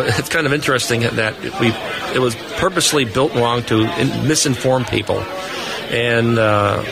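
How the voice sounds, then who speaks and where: A man giving detailed, technical explanations and stories as a guest in a live radio interview. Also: medium pitch. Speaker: guest, amateur radio expert. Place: busy indoor convention hall booth. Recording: busy convention floor crowd noise. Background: crowd chatter.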